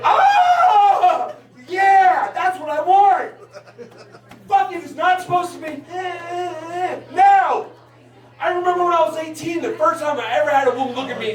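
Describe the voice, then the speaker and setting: Brash high-pitched stand-up man in his forties. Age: 40s. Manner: profane, brash, shouting at the crowd. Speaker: stand-up comedian. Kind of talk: crude stand-up set. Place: large hall at a live show. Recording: echoey hall recorded from a distance with crowd noise. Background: laughing crowd.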